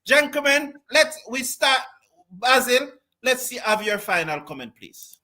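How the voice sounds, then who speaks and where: A man's voice: very high-pitched, sing-song, measured pace, bright, forceful tone. A man hosting a cricket panel show, chatty and often repeating his words as he starts a sentence. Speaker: host. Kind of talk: panel discussion.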